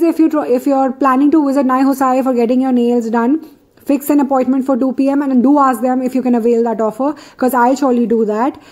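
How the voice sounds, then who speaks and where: A woman's voice: sits high, warm tone. A woman from India chatting casually as a vlogger while getting her nails done indoors, filming on a phone. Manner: chatty, recommending, self-correcting. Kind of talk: casual vlog narration.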